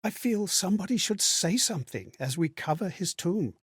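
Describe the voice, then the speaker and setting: A man voicing a courteous, melancholy lost spirit in a video game, reflecting on memories in a measured, theatrical way. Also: high voice, expressive, slightly rough, dry recording, clean studio sound, exaggerated voice. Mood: mournful. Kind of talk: dramatic video game monologue.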